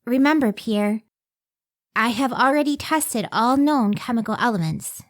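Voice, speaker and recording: Woman as earnest, high-voiced lady scientist. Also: expressive, measured pace, no room sound, studio quality. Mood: hesitant, awed. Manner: earnest, careful, emotive delivery. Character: earnest, modest, devoted woman scientist and wife. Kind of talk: old-time radio drama acting.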